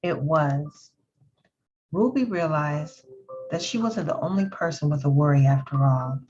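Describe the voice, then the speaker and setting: A woman's voice: sits low, lively intonation, unhurried, warm tone, a little hiss or hum. A woman storyteller reading a children's picture book aloud, with a slightly dull recording. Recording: dull lo-fi audio with music. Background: faint music.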